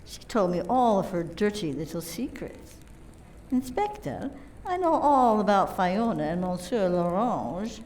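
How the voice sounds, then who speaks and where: A woman's voice: medium pitch, very animated, unhurried, darkish tone, a little hiss or hum, low-quality recording. A woman acting in a radio play as a cool, proud businesswoman who is pointed and gossipy. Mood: proud, accusing.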